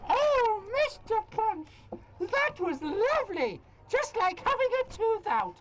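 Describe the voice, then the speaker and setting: Bloke doing a fussy puppet wife, really high and swoopy, noisy recording. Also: male voice, very animated, slow, a crowd in the background, heavily acted. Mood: delighted.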